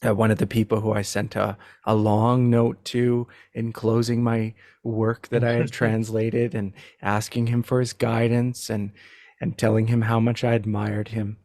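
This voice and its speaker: A man speaking as a podcast guest in casual conversation, halting and repeating words, with a self-deprecating manner. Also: lowish voice, little pitch movement, darkish tone, dry recording, clean studio sound. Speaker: podcast guest, literary translator.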